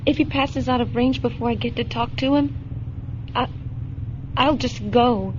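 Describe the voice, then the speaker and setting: An actress playing a frightened, lonely, naive young stowaway in a science fiction radio drama, speaking plaintively and earnestly. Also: female voice, fairly high pitch, measured pace, slight background noise, close to an everyday voice. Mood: sad, resigned. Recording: dull lo-fi radio drama, music underneath.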